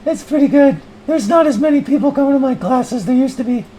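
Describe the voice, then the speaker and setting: A man voicing a calm, gentle female yoga instructor in her early thirties, soft and reassuring. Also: very high voice, a little hiss or hum, low-quality recording. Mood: soothing, reassuring. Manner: slow, deliberate, reassuring. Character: calm soothing young female yoga instructor, serenely mindful.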